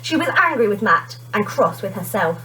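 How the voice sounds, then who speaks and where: A woman teacher reading a children's storybook aloud in an expressive narrating style. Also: mid-range voice, moderate pace, a little hiss or hum, poor audio.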